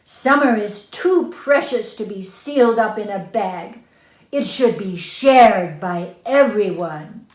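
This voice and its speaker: Lady reading as clever proud coyote guy from a storybook, slow n emphatic, roomy echo. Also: medium pitch, lively intonation, darkish tone. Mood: defiant, firm. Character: proud male coyote, clever peacemaking trickster. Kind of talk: storybook read-aloud.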